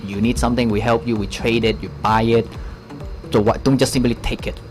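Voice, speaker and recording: Guy from hong kong answering interview questions, mid pitch, kinda halting but emphatic, bit of music in back. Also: fairly even intonation. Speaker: interview guest, protest supporter. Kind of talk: unscripted explanatory interview answers.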